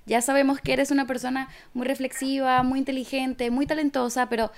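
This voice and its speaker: Venezuelan woman host, slightly high voice. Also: flat delivery, brisk. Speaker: radio show host. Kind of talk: conversational interview hosting.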